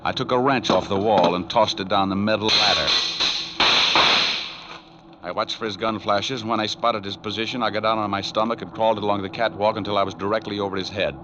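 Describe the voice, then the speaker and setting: An actor playing a tough, wisecracking private detective in a 1940s radio drama, with an American accent and a wry, clipped delivery. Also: male voice, fairly low pitch, steady tone, a little fast, heavy background noise, close to an everyday voice. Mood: hard-boiled, wry. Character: tough wisecracking male private detective narrating his case. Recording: muffled old 1940s radio recording, music behind.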